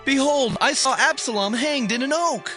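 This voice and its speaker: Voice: high voice, moderate pace, sharp tone, soft background music. An actor playing a frightened, indignant common soldier in a dramatized audio Bible, protesting emphatically in archaic scriptural phrasing. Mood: alarmed.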